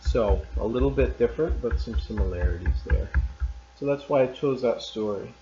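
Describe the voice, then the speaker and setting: A man teacher reading a short story aloud in a calm, measured way. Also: mid-range voice, over music. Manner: calm and measured, loose and chatty after reading. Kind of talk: read-aloud story narration. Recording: roomy, slightly dull recording.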